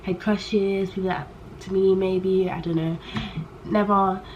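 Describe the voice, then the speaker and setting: A young woman in her late teens, chatting candidly to camera in a rambling way. She talks loudly on purpose and keeps trailing off and restarting her sentences. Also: mid-range voice, fairly even intonation, measured pace, dull audio. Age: young adult. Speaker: vlogger. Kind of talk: casual chat to camera. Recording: poor audio with faint background noise.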